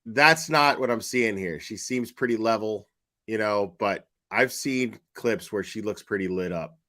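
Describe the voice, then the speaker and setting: A man with a fairly low voice talks at a very slow, drawn-out pace. He reacts casually as a commentator, with blunt mockery and plenty of swearing. His manner is sardonic throughout. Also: bright, forceful tone. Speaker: commentator reacting to online true-crime streamers.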